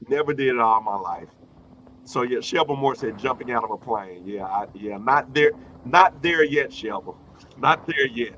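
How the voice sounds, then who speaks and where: A man in his early fifties speaking as a pastor in an informal sermon, repeating phrases for emphasis and moving between loud and hushed delivery. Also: mid-range voice, animated, moderate pace, a little hiss or hum, low-quality recording. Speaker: pastor addressing an online congregation. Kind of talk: informal sermon with personal anecdotes and replies to viewer comments.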